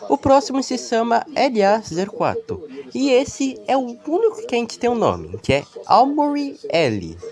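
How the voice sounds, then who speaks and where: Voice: medium pitch, big pitch swings, unhurried, hardly any pauses, darkish tone. A narrator with a Brazilian accent giving a casual, explanatory commentary voice-over as a video creator.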